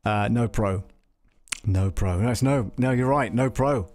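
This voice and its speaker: Man, lowish voice, live tutorial host, hesitant, thinking aloud, muttering through a software demo. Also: unhurried. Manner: hesitant, muttering to himself as he works. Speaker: host of an audio production live stream tutorial.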